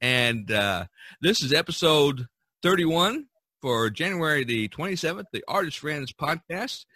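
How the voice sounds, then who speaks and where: A man hosting a casual podcast chat, talking in a halting way and repeating words. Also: a little high, expressive, pushed and bright, breathy edge, no room sound. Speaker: podcast host.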